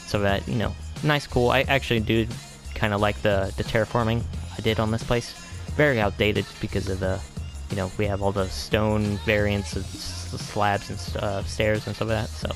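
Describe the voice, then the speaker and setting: Low-voiced man rambling through a game tour. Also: flat delivery, normal speed, creaky, few pauses, over music. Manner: hesitant, filler-heavy, trailing off with vague asides. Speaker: gaming video host.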